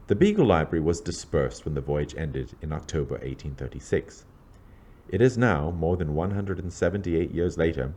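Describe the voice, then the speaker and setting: Low-voiced guy narrating something scholarly, reading it out measured, pitch moves around a lot. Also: darkish tone. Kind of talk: scripted narration, read aloud.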